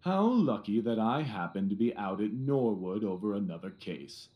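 A man's voice: fairly low pitch, animated, dull audio, natural voice. A man playing a pompous, self-satisfied middle-aged police detective in an audio drama, blustering and condescending with emphatic repetitions. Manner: blustering, condescending, repeats himself, emphatic pauses.

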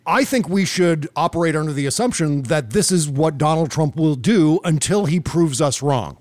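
American man, slightly high-pitched, dry close sound. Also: lively intonation, moderate pace, darkish tone, no room sound. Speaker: podcast host. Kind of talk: casual political commentary.

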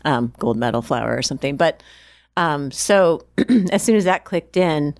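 A woman's voice: deep voice, animated, normal speed, dry recording, studio quality. A woman chef and restaurant owner answering interview questions in a loose, rambling way, with frequent restarts and fillers.

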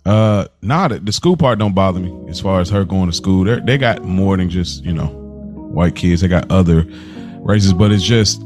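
A man's voice: deep voice, normal speed, darkish tone, soft background music. A man co-hosting a podcast, talking in a casual, earnest way and often repeating himself. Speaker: podcast co-host. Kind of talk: casual chat.

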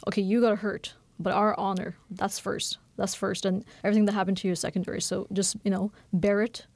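Novelist guest, woman in her thirties, quick, candid, lots of "you know", some vocal fry, dry studio sound. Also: mid-range voice, no room sound. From Bangladesh. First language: Norwegian. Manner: fast, candid, animated with many fillers. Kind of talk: conversational radio interview.

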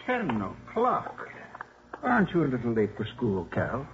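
An actor playing a gentle, drily humorous priest in his sixties, mild and a little hesitant, in a 1950s radio drama. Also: medium pitch, wildly swooping pitch, normal speed, slight background noise. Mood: gentle, wry. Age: 60s. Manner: hesitant, mild, wryly formal. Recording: muffled 1950s radio broadcast.

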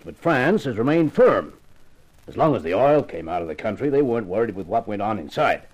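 Male senior official: formal, authoritative, mid-pitched with expressive inflection, vintage radio thriller. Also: breathy edge, low-quality recording. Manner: measured, formal, explanatory. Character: courteous, authoritative gray-haired male government official. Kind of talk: 1950s radio crime drama acting.

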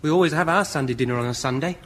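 An actor playing a naive, childish young English Home Guard private, earnest and petulant, in a British sitcom. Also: male voice, on the high side, rapid-fire, lightly acted. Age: young adult. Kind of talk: British sitcom acting before an audience. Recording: very noisy old radio comedy recording.

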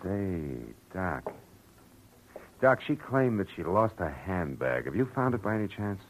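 An actor playing a hard-boiled, wry private detective, clipped and dry, in 1940s radio drama style on a dull old recording. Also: male voice, deep voice, sing-song, a little slow, a little hiss or hum. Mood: probing, dry. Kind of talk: 1940s radio acting with noir narration.

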